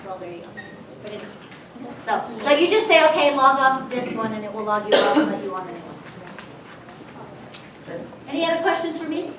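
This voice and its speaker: Lady trainer talking to coworkers, highish voice, really echoey, mic is far off. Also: very animated, fairly quick, long pauses, a crowd in the background. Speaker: staff trainer answering questions. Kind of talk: informal explanation to colleagues. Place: large room at a school faculty meeting. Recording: very echoey room, distant mic, audience murmur.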